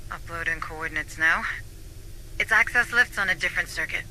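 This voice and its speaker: An actress playing a brisk, practical station administrator guiding troops in a video game, matter-of-fact and instructive. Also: female voice, fairly low pitch, bright, forceful tone, a little hiss or hum, poor audio. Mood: practical, cautioning. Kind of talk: video game military drama.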